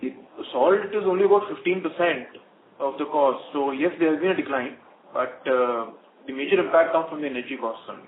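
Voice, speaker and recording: Guy exec on earnings call, halting answers, thin phone sound w bit of hiss. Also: on the high side. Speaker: company executive answering analysts. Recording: echoey, narrowband phone line, faint noise.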